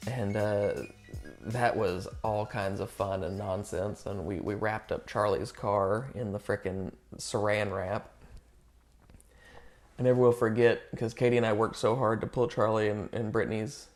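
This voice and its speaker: Male family storyteller, slightly deep, informal anecdotal delivery over faint music. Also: fairly even intonation, measured pace. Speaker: family member sharing memories.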